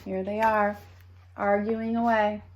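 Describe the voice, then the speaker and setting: A woman reading a children's story aloud in an expressive manner, with playful asides to young listeners. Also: mid-range voice, slow pace. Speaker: storybook reader.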